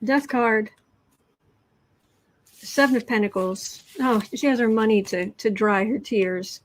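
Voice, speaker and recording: A woman with a fairly high voice hosts a casual tarot livestream, flipping through cards and answering her chat. She talks in a chatty, sarcastic way, restarts her sentences and stops now and then to clear her throat. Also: slow pace, poor audio. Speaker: host of a political tarot livestream.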